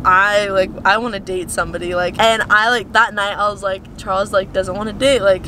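A woman's voice: mid-range voice, fairly even intonation, fairly quick, bright, forceful tone. A young woman telling a story casually in the back seat of a car, chatty and full of "like". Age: young adult. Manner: chatty, lots of "like", giggly. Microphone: camera in the car. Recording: car road noise.

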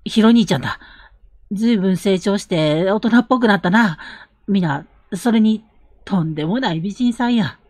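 A woman voicing a calm, protective young man, an elder brother in a Japanese period audio drama, with a husky voice and measured, earnest delivery. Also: mid-range voice, no room sound, clean studio sound, close to an everyday voice. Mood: tender.

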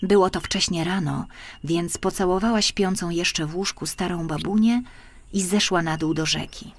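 A woman narrating a scripted children's story in an expressive, careful storytelling manner. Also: fairly low pitch, moderate pace. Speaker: audiobook narrator.

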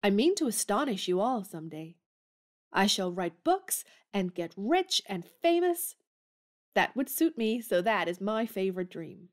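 A woman's voice: mid-range voice, sing-song, measured pace. A headstrong young woman full of big ambitions, as an actress plays her in an audio drama. Her voice sits in a middle register. She speaks forthrightly and with emphasis. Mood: eager. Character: spirited tomboyish young woman writer, bold and restless. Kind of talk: theatrical audio drama acting.

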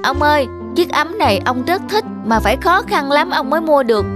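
A woman's voice: high voice, big pitch swings, fairly quick, over music. A woman voicing an eager, respectful young male servant in a moral folk-tale cartoon, polite and inquisitive. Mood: puzzled, earnest.

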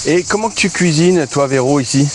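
A man's voice: fairly high pitch, fairly quick, background noise. A man in his thirties asking casual questions as a vlog interviewer outdoors. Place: outdoors by a van in a field. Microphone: handheld phone or camera at arm's length.

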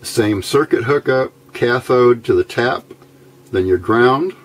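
A man giving a casual technical tutorial in a plain-spoken, methodical way, with hesitant pauses, as an electronics hobbyist at a workbench. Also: mid-range voice. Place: indoors at a workbench.

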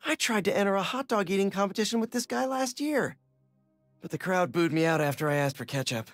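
An actor playing an earnest, self-deprecating young superhero in a video game, casual and wry. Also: male voice, sits high, lively intonation, fairly quick, fairly bright tone, no echo, faint music behind. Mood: amused. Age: young adult.

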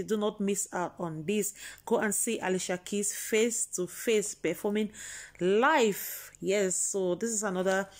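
A woman hosting a news vlog, chatty and exclaiming, repeating her points to the viewers. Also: mid-range voice. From Cameroon. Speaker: vlog host.

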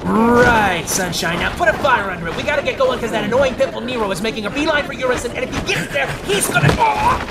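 Game creature: brash little demon bird, very high, wildly swooping, exasperated, over loud music and noise. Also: male voice, expressive, fast talker, hardly any pauses, recorded from a distance, over a noisy crowd. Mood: bossy urgency. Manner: brash, frantic exclamations with comic exasperation. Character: small excitable wisecracking talking demon bird. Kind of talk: animated video game voice acting.

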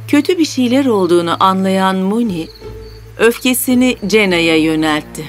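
A woman's voice: mid-range voice, animated, normal speed. A woman narrating a scripted fairy tale as the storyteller. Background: background music.

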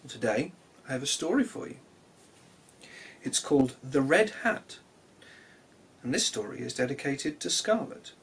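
Expressive, highly animated male storyteller. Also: normal speed, long pauses, slightly rough. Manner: expressive, simple address to children. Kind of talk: children's storybook reading.

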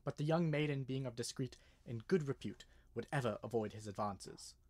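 A man acts out an earnest, uneasy gentleman in a 1930s-style radio drama. He speaks formally at a middle pitch, with careful, old-fashioned delivery. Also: moderate pace, lightly acted. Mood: solemn reading. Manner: hesitant, formal. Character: nervous, earnest gentleman client reading an old legend. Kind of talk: 1930s radio mystery acting.

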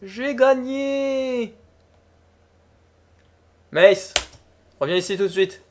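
A young woman co-hosting a gaming talk show live stream, teasing and animated as she runs a guessing game. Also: fairly high pitch, slight background noise. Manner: teasing, animated, quick laughs. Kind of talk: playful livestream quiz chat.

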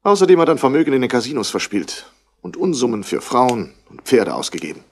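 A man's voice: medium pitch, sing-song, quick pace. An actor playing a brusque, self-important Australian businessman in a 1960s German radio crime drama. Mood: indignant, disapproving. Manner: clipped, self-important, indignant. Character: brusque, busy rich Australian businessman, wool merchant's son.

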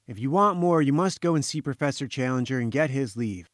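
Man playing a breezy, wry gentleman journalist. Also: mid-range voice, lively intonation, brisk, no room sound, studio quality, lightly acted. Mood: friendly, firm. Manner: breezy, old-chap British slang. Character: genial, wry male journalist, firm but friendly.

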